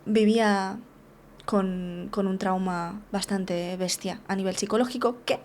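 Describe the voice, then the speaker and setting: A woman's voice: medium pitch, steady tone, measured pace, darkish tone, a little hiss or hum. A woman, a therapist and guest, frankly telling a personal story in an interview, with restarts and many tag questions.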